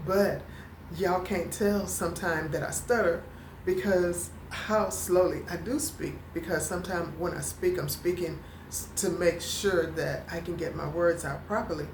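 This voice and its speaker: A woman vlogger who talks slowly and haltingly, with a stutter and many fillers, and gets emotional at times. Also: fairly low pitch, echoey room, slight background noise, rough recording. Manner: emotional, near tears at times. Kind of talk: personal talking-head vlog.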